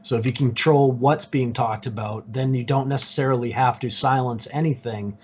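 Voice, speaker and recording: A man from Massachusetts explaining his views as a podcast guest in a measured, assertive, conversational way. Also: mid-range voice, fairly even intonation, slightly rough. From Cape Cod, Massachusetts. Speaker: podcast guest, YouTuber and researcher. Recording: dull lo-fi audio.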